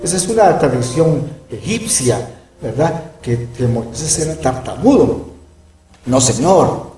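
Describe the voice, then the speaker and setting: A man's voice: medium pitch, big pitch swings, unhurried, warm tone, far from the mic, slight background noise. A middle-aged man teaching a Bible lesson, emphatic and given to asking "¿verdad?" as he explains. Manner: emphatic, peppers speech with "¿verdad?". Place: room with closed blinds. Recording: echoey room, voice off-mic.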